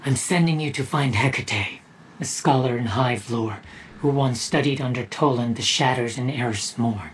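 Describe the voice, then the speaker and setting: An actor playing a composed, serious field commander, giving a deliberate video game mission briefing. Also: male voice, mid-range voice, slight background noise, close to an everyday voice. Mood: grave, purposeful. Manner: deliberate, measured.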